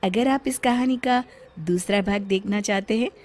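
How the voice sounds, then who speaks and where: A woman tells a cartoon story as its narrator. Her voice sits at a middle pitch, and she follows a script in storyteller fashion. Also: moderate pace.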